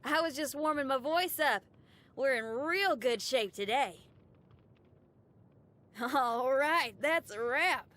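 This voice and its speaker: A woman's voice: high voice, lively intonation, slow pace, pauses often, fairly bright tone, heavily acted. A woman playing a brash, upbeat, free-spirited young female rock musician in a video game, with a folksy, slangy and enthusiastic way of talking. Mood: relaxed, casual. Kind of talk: video game character voice lines.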